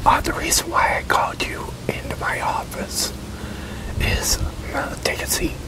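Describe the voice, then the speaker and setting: A man in his sixties doing an ASMR roleplay as a promoter in a breathy, halting whisper. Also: rough recording. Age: 60s. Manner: halting, with pauses and one-sided conversation. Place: open covered outdoor picnic area with metal tables.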